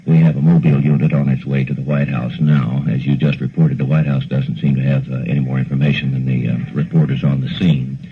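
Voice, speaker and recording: A man with a husky, rough voice giving a halting, unscripted live news update on a 1960s broadcast. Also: a bass voice, flat delivery. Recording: tinny, narrow 1960s broadcast audio.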